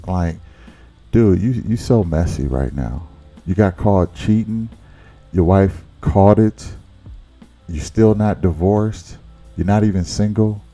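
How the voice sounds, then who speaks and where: A man's voice: low-pitched, slow pace, mellow, soft background music. A man hosting a reaction channel, giving blunt, casual commentary on a relationship clip and often repeating words as he thinks.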